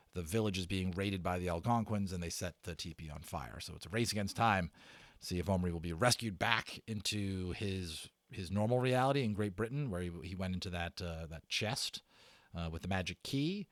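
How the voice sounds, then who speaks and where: A man narrating a children's adventure novel aloud as a dramatic read-aloud, with theatrical emphasis and deliberate pauses. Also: low voice, no room sound. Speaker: audiobook narrator and channel host.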